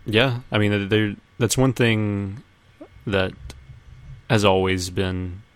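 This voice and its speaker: A man's voice: lowish voice, level tone, slow pace, clear, resonant tone. A man co-hosting a podcast, chatting casually about pop culture. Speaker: podcast co-host.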